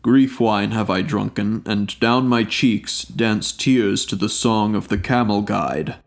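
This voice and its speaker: A man as a lovesick ifrit, Dahnash. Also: fairly low pitch. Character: lovesick male ifrit spirit, boastful then humble. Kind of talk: storybook reading of an old tale.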